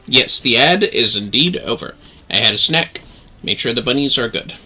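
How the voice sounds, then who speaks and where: A man's voice: medium pitch, animated, moderate pace, sharp tone, low-quality recording. A man hosting a gaming livestream, chatting to viewers and reading game dialogue aloud.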